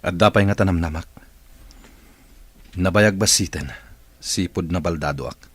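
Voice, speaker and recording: A Filipino man playing a gruff, despondent wealthy boss who uses a wheelchair, in a radio drama. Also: low-pitched, normal speed. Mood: bitter, hopeless.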